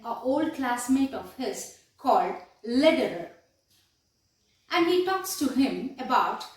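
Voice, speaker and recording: A woman with an Indian English accent lecturing as a college professor, deliberate and emphatic, repeating phrases for her students. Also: fairly high pitch. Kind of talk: classroom lecture on a play. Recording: distant mic in echoey room.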